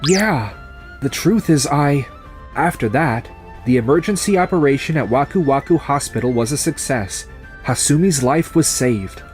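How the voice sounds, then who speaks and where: A man playing a calm, resolute young surgeon turned farmer in a manga dub, speaking in a measured, firm way. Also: fairly high pitch, faint music behind, natural voice. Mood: calm, decisive.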